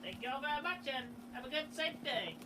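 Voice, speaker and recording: A woman signing off to her viewers in a casual, excitable way, with bursts of exclamation. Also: sits high, quick pace. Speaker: video creator. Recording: distant noisy replayed video audio.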